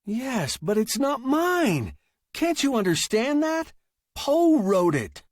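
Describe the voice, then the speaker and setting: A man's voice: very high voice, very animated, slow pace, dry recording, clean studio sound, pitched above the performer's own voice, heavily acted. A man acting a stubborn, flustered male writer in a story, protesting in a melodramatic, emphatic way. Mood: indignant.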